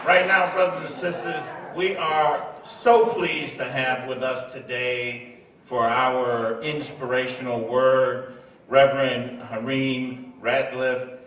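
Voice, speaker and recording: A man's voice: mid-range voice, fairly even intonation, slow pace, fairly bright tone. A man acting as emcee who introduces speakers at an event with formal, welcoming announcements. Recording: roomy, distant mic, background noise.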